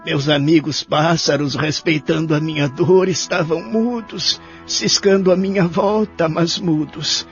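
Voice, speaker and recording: Guy playing sad lonely old man in an audio drama, kinda high voice, measured and repentant, music behind. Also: steady tone, muffled recording, natural voice. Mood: sorrowful, reflective. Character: lonely elderly man in a care home, sorrowful, repentant. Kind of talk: audio drama narration and dialogue.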